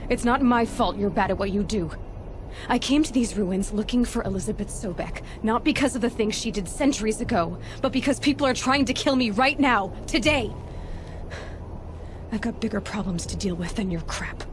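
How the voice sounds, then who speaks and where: A woman's voice: mid-range voice, animated, normal speed, background noise, natural voice. An actress playing a headstrong young woman adventurer in a video game cutscene, speaking bluntly and with determination. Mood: angry, defiant.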